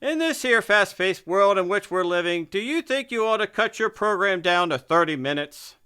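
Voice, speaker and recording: A man's voice: high voice, expressive, moderate pace, bright, forceful tone, smooth. A man playing a blunt, folksy visitor with a complaint in an old-time radio comedy. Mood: blunt, complaining.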